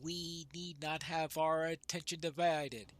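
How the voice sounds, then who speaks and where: A man's voice: fairly high pitch, flat delivery, unhurried, dry recording, natural voice. A man voicing a solemn, battle-ready dwarf lord in formal, declamatory fantasy game dialogue. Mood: solemn, reminiscing.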